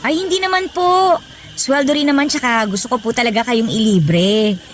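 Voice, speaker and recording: A woman's voice: a little high, normal speed, a little hiss or hum, lightly acted. A woman with a Filipino accent playing a polite, caring younger friend of an older woman in a radio drama. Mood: concerned, kindly. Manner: polite, solicitous.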